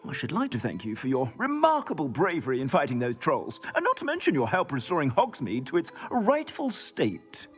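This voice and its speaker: A man's voice: on the high side, huge pitch swings, a little fast, few pauses, soft background music. A man playing a grateful, florid and courteous clothier in a video game. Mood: grateful, flourishing. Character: grateful, theatrical male shopkeeper and clothier. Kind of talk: video game character acting.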